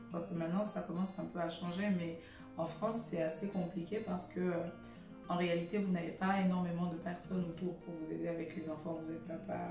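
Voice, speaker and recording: A woman in her thirties answers interview questions in a lowish voice, hesitant and full of fillers as she gathers her thoughts. She sounds set back from the mic in an echoey room, with music underneath. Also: far from the mic. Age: 30s. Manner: hesitant, many fillers, giggly at first. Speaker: interview guest. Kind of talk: casual interview chat.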